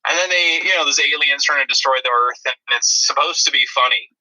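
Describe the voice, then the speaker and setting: A man's voice: fairly high pitch, brisk, sharp tone, poor audio. A man co-hosting a movie review podcast, chatting in a dry, disparaging way. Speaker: podcast co-host.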